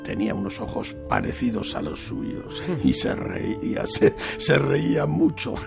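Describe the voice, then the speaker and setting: Gravelly, rambling old farmer from Spain. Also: male voice, on the low side, sing-song, measured pace, over loud music, exaggerated voice. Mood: nostalgic. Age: older. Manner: hesitant, stammering, repeating words. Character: poor old farmer, rambling, nosy, pleading widower. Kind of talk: Spanish radio drama acting.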